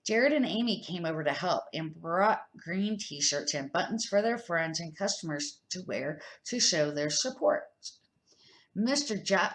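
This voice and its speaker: A woman's voice: deep voice, fairly bright tone. An older woman reading a children's storybook aloud as a grandmother would, an amateur who now and then stumbles and corrects herself.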